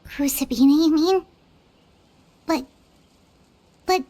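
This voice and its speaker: An actress voicing a tiny, earnest, curious fairy companion in a video game, with a squeaky childlike voice and a blunt way of talking. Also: female voice, slow, dark tone. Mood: hesitant, shocked. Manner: speaks of herself in third person, blunt. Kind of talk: cartoonish video game dialogue.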